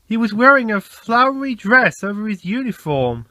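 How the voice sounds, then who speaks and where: A man voicing a proud, excitable male steam engine who exclaims in a children's storybook. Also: sits high, normal speed, dry recording, voice pushed up, exaggerated voice. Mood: astonished. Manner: exclaiming, breathless astonishment.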